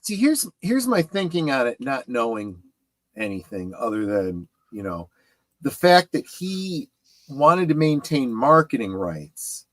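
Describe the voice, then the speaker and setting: A man hosting a casual panel discussion on his channel, halting and emphatic as he thinks aloud. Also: medium pitch, big pitch swings, no room sound. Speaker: host of a pop culture streaming channel.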